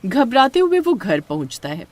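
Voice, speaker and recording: A woman's voice: a little high, big pitch swings, moderate pace, darkish tone. A woman reading scripted horror story narration as the storyteller.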